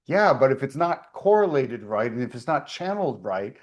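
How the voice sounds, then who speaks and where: A man's voice: mid-range voice, very animated, moderate pace. A man hosting an online meeting, presenting in a chatty, storytelling way.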